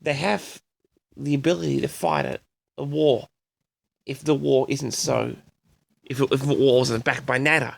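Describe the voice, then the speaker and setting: A man's voice: mid-range voice, fairly even intonation, measured pace, vocal fry, pauses often, no echo. A man hosting a personal audio log, rambling casually and hesitantly, often repeating himself as he addresses his listeners. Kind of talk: rambling casual monologue to audience.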